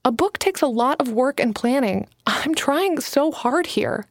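A woman's voice: high voice, animated, measured pace, no room sound, clean studio sound, pitched above the performer's own voice, exaggerated voice. A woman reading an audiobook, voicing an eager, earnest young woman writer who pleads and bristles. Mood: frustrated. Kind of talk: audiobook character voice.

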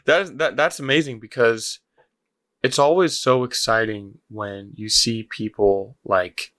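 A man's voice: mid-range voice, measured pace, fairly bright tone. A man hosting a casual podcast interview, encouraging and affirming his guest. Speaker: podcast host.

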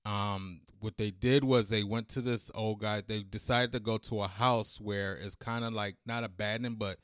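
A man co-hosting a laid-back talk show, with a fairly low voice that is slightly strained because he is losing it. He talks loosely, with fillers and slang, and the close mic gives a dry, intimate sound. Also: steady tone, moderate pace, a bit bright, smooth, no room sound. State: losing his voice. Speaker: podcast co-host. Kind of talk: casual chat.

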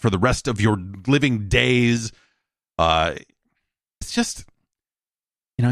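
A man's voice: mid-range voice, fairly bright tone, no room sound, studio quality. A man hosting a solo podcast, talking in a rambling, informal, wry way, moving between casual commentary and ad reads. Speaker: podcast host. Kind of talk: casual solo podcast talk and host-read ad.

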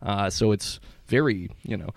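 A man's voice: lowish voice, moderate pace, vocal fry, no room sound, clean studio sound. A man in his forties hosting a podcast, playful and joking, laughing often. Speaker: podcast host. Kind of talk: casual chat.

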